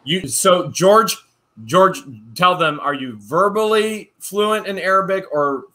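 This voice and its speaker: Guy doing a fan mail vlog, bright punchy voice, kinda high, goofing around reading letters. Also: slow. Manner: animated, jokey, reads letters aloud. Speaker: channel co-host. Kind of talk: casual chat opening fan mail.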